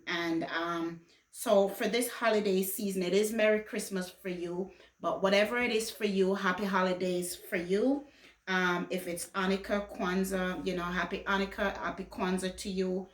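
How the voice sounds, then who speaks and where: A woman from Jamaica who later moved to the US, chatting casually to viewers in a rambling, conversational way as a lifestyle vlogger. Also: medium pitch. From Jamaica until age fourteen, then the US. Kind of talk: casual vlog chat to viewers. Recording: echoey room.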